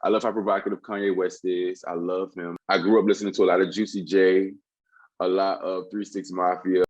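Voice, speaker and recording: A man, a rapper with a very deep voice, talking animatedly in a casual interview, often laughing and restarting his sentences. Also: little pitch movement, brisk, no echo, lo-fi sound.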